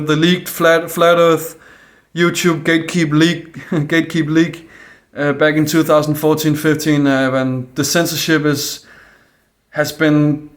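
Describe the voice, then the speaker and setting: Man with a Danish accent, slightly high voice, unscripted to camera, stumbling and restarting but calm. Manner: hesitant, many fillers and restarts; calm, earnest. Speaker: independent video maker. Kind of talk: casual monologue to camera.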